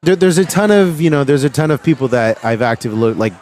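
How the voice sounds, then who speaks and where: Rambling man from Miami, mid-pitched. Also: expressive, fast, darkish tone, slight background noise. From Miami, Florida. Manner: rambling, many restarts and "you know"s. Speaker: game developer guest. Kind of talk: casual interview chat.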